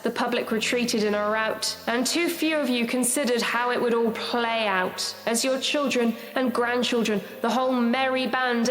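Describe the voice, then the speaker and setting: A woman's voice: a little high, lightly acted. An actress playing an earnest, bitter young woman poet, declaiming political verse in a radio play. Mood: accusing, earnest. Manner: declamatory, rhyming, deliberate delivery. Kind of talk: performed spoken-word poetry in radio drama. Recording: echoey hall reverb with music.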